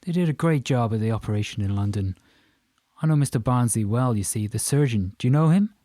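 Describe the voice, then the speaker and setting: An effusive Irishman in his fifties, acted out by a male audiobook reader, who chatters on with swagger and emphasis. His pitch jumps about and there is a boastful, faintly menacing edge to his talk. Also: expressive, fairly quick, mellow, dry recording, clean studio sound, close to an everyday voice. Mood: effusive, jovial. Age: 50s. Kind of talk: audiobook character dialogue.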